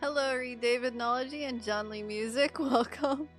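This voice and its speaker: A woman's voice: on the high side, steady tone, moderate pace. A woman from the Philippines streaming a game, giving casual, playful live commentary in short reactive exclamations. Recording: game music behind the voice.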